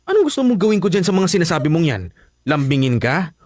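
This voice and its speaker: An actor with a Filipino accent playing a cold, irritable boyfriend, curt and scolding, in a radio drama. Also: male voice, fairly high pitch, sing-song, fast, a little mellow, no room sound, clean studio sound. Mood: dismissive, annoyed.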